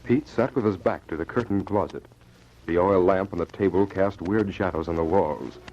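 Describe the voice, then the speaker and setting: A man's voice: low voice, normal speed, darkish tone. A man narrating and announcing an old-time radio adventure in a dramatic, scripted style. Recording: muffled, noisy old radio transcription.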